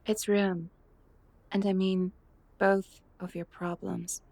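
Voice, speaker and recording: A woman playing calm, frank Rim, creaky voice. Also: mid-range voice, slow, no room sound.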